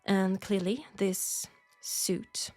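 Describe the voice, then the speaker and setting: A woman's voice: medium pitch, steady tone, very slow, a little mellow, no echo, faint music behind. A woman with a French accent playing a polite, formal, self-assured seamstress in a steampunk audio roleplay drama. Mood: critical, dry. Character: proud, outspoken, formal young seamstress in steampunk Paris.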